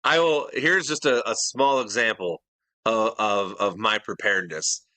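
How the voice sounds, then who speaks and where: A man's voice: fairly low pitch, unhurried, pushed and bright, no room sound. A middle-aged man co-hosting a casual podcast chat, earnest and detail-minded, often restarting his sentences. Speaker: podcast co-host.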